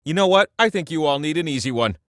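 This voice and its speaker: An actor voicing a giant all-knowing talking wheel that hosts a comic video game quiz, boastful and chummy, with playful asides to the humans. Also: male voice, fairly high pitch, expressive, fast, a bit bright, no echo, clean studio sound. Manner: playful, self-important, conversational asides. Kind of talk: theatrical, comic quiz-show patter.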